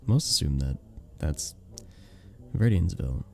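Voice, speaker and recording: A man voicing a charming, modest vampire bartender in a soft-spoken ASMR roleplay, with a gentle, polite and slightly formal manner. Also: extremely low pitch, lively intonation, a little slow, creaky, soft background music, full character voice. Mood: wistful, hesitant. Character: charming, sweet, modest young-looking male vampire bartender. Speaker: voice actor in an audio roleplay.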